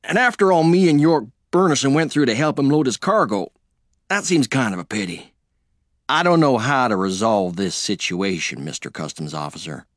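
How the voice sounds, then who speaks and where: A weary frontier adventurer, as the reader plays him, talks in dry, drawling understatement. His voice sits in a middle pitch. The sound is dry and close. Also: lively intonation, moderate pace, no echo, close to an everyday voice. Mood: wry. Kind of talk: audiobook character voice.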